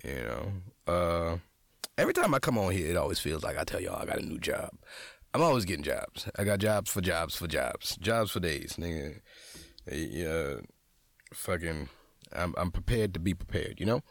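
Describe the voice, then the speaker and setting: Raspy, animated man hosting a podcast. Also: sits low, normal speed, no echo. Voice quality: slightly raspy. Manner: profane, animated, slangy, talks straight to listeners. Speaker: podcast host. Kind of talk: casual storytelling monologue.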